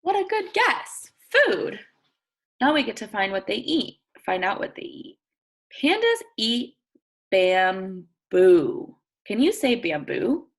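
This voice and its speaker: A woman with a fairly high, lively voice leads a read-aloud for little kids at an unhurried pace. Her tone has a husky, creaky edge as she reads deliberately and poses questions to her listeners like a teacher. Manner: expressive, prompting listeners with questions and think-alouds; slow, deliberate sentence reading with simple explanations for children. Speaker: teacher reading aloud to young children. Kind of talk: interactive nonfiction read-aloud lesson for young children.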